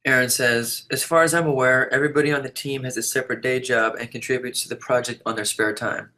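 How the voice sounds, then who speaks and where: Measured man with a mid-range voice. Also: mid-range voice, steady tone, sharp tone. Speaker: reader of an interviewee's typed answers. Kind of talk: reading written answers aloud in an interview.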